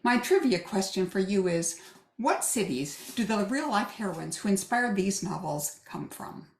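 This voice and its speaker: A woman's voice: medium pitch, animated, a little slow, gravelly. A woman author from the United States reads a polished, well-rehearsed introduction to her novels. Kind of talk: scripted promotional reading. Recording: echoey room.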